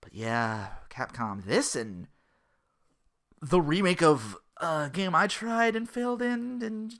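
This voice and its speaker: Animated man hosting a casual gaming podcast. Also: fairly high pitch, takes it slowly. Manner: rambling, slangy, acting out imagined quotes. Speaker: podcast host.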